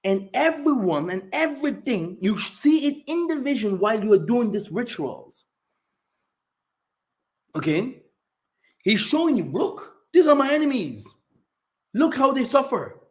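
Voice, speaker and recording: An older man whose first language is German, hosting a rambling, animated stream and reading Bible verses aloud, clearing his throat often. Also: extremely high pitch, a little slow, long pauses. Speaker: channel host. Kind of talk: livestream monologue with scripture reading.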